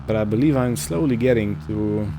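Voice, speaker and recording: A man hosting a roleplay gaming series, narrating his play in character in a casual, improvised way with halting short phrases. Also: fairly low pitch, background noise.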